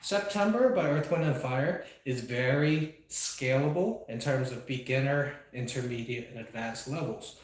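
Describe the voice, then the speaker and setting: A man's voice: medium pitch, unhurried, few pauses. Man talking in a very echoey room. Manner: reflective, meandering, many fillers. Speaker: speedbag performer and channel host. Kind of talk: casual live chat while training. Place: indoor training space with a speedbag platform. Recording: very echoey room, faint background noise.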